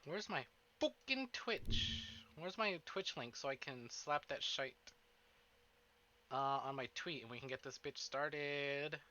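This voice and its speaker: A man with a very bright, forceful voice, pitched a little high. He hosts a livestream in a chatty, animated way, often interrupting himself and swearing playfully. The audio is slightly dull and lo-fi. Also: takes it slowly. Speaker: streamer, host of a visual novel stream. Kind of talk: casual livestream chat to viewers. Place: indoors at a desk.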